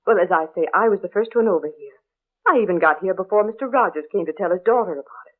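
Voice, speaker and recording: A woman's voice: on the low side, quick pace, lightly acted. Older busybody woman, played by an actress, dramatic and ominous storyteller, 1940s-style radio acting, muffled band-limited recording. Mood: tragic, dramatic. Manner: chatty, exclamatory, ominous storytelling. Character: gossipy, superstitious older female neighbor with rheumatism, dramatic and morbid.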